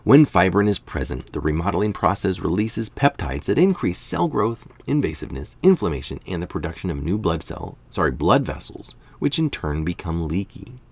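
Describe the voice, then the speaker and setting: Very deep-voiced man reading aloud, gravelly. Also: very animated, normal speed, hardly any pauses. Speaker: reader of health articles. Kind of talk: reading a scientific article aloud, with casual asides.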